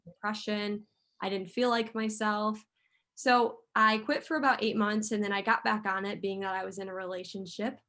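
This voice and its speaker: A woman's voice: medium pitch, bright, forceful tone. A woman in her twenties, founder of a women's group, hosting an online class in a chatty, earnest way with many ums and likes. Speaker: host, community founder. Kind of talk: casual video call talk. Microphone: video call.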